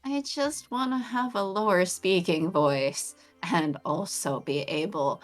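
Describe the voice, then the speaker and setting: A young woman chatting casually on a game stream, talking in a melodic, animated way with lots of pitch variation. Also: slow, faint music behind. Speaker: streamer co-host.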